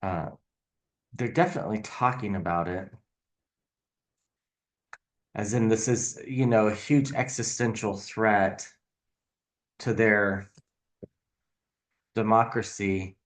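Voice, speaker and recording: Male tarot channel host, lowish pitch, unscripted and halting, speculative, thinking out loud as he reads. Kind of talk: casual tarot reading commentary on politics.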